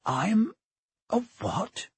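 A man's voice: a little high, huge pitch swings, slow pace, breathy edge, no room sound, exaggerated voice. A man voicing a bewildered, curious schoolboy with a hesitant, questioning manner in a storybook reading. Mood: eager, stunned. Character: bewildered curious eleven-year-old boy.

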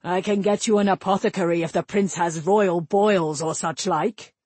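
Female narrator as hot-tempered ageing king, gruff and husky, commanding, rustic turns of phrase, fantasy audiobook. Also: fairly low pitch, steady tone, normal speed, darkish tone, no room sound. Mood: scornful. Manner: rustic dialect words, blustering, commanding. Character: massive grey-haired warrior king, gruff, domineering, hot-tempered father.

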